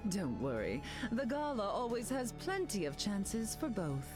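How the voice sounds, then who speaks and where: A woman's voice: medium pitch, wildly swooping pitch, measured pace. A woman playing a glamorous telepathic queen in a video game, poised, theatrical and condescending. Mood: teasing, haughty. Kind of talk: video game hero voice lines. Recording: game dialogue over loud music.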